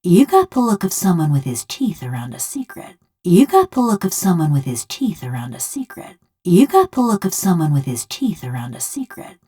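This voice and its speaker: A sardonic video game construct, a rough country killer nursing a grudge. Her voice sits a little low for a woman and moves in wide, lively swings. She speaks in folksy, ungrammatical phrases with a taunting, bitter edge. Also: normal speed, few pauses, full character voice. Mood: dry, wry. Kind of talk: video game character dialogue.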